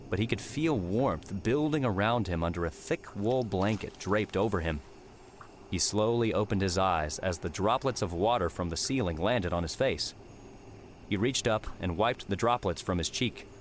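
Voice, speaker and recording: A man's voice: on the low side, a little hiss or hum. A man reading scripted third-person fiction as an audiobook narrator. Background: prominent music with outdoor ambience.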